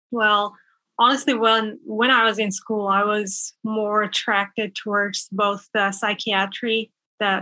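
Young woman from bangladesh, bright and kinda high, chatty with lots of ums, dry close sound on a video call. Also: no echo, low-quality recording. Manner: chatty, laughs often, many fillers. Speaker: nurse guest. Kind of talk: casual interview chat.

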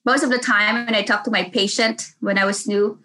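A woman's voice: mid-range voice, fairly even intonation, a little fast, pushed and bright. A middle-aged woman with a Filipino accent, a nurse telling casual stories in an interview, chatty and laughing often. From Philippines. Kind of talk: casual storytelling.

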